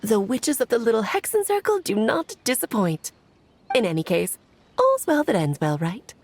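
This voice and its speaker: A woman's voice: a little high, huge pitch swings, moderate pace, darkish tone, dry recording. An actress playing a playful, theatrical grown witch in a video game, eloquent, airy and teasing. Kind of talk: video game cutscene acting.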